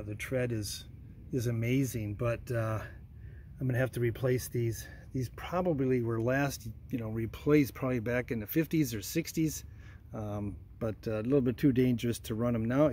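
Man, mellow voice, casual walkaround narration, handheld phone mic, frequent ums, trails off quietly, faint background noise. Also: medium pitch. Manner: unhurried, plain-spoken, many ums and uhs, trails off quietly. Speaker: vintage car restoration vlogger. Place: old car in a garage and driveway. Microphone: phone camera held in hand.

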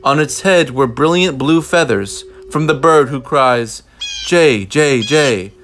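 A man reading a children's picture book aloud in an expressive way, with deliberate, dramatic phrasing. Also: fairly high pitch. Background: music.

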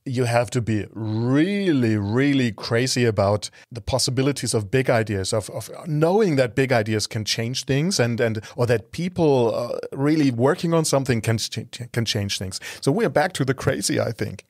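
A man's voice: medium pitch, lively intonation, darkish tone, no echo. Hesitant male interviewer, dry close sound. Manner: hesitant, halting phrasing. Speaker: podcast host, interviewer. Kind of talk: casual interview talk.

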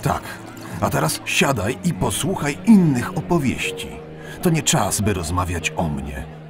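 Man playing a deep-voiced old elf captain. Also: very animated, measured pace, over music. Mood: firm, inviting. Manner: authoritative, melancholy. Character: weary, proud old male elf veteran captain. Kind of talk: Polish fantasy audio drama.

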